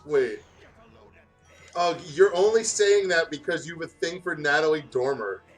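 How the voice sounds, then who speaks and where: A man's voice: fairly high pitch, expressive, unhurried, faint music behind. A man reading fan fiction aloud in a casual, joking way, often stumbling over his words.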